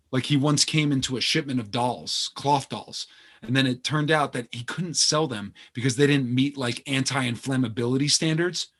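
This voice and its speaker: A man's voice: medium pitch, fairly bright tone, natural voice. A man playing a guarded, earnest, privileged grandson in a naturalistic stage play, speaking in hesitant, casual phrases. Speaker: actor in a two-man play.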